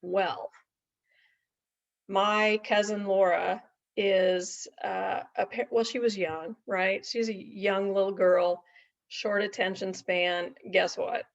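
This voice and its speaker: Gravelly middle-aged woman telling a folksy story. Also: medium pitch, steady tone, slow pace. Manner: folksy, chatty, with asides and tag questions. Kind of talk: casual anecdotal storytelling to an audience. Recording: dull lo-fi recording.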